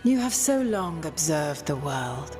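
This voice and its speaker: An actress playing a proud, persuasive ancient spirit turned elf in a fantasy game, with formal, stately speech. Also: female voice, sits low, big pitch swings, takes it slowly, darkish tone, faint music behind, exaggerated voice. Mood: imploring. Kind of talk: video game voice acting.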